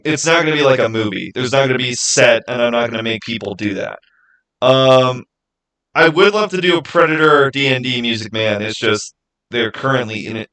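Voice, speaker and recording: Male streamer, mid-range voice, casual chat, halting delivery, thinking aloud, repeats phrases. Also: medium pitch, a bit bright, poor audio. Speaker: livestream host. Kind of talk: casual livestream chat, answering viewer questions.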